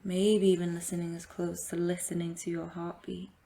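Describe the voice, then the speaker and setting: A woman leading a guided meditation as a yoga teacher, giving gentle, invitational instructions with long pauses. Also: lowish voice, flat delivery, vocal fry.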